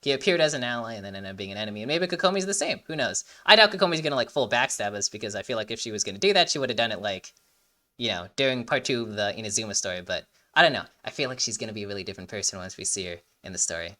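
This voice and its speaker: A man's voice: fairly low pitch, animated, very fast, bright, forceful tone. A young man giving casual, rambling, emphatic commentary as a reaction video host.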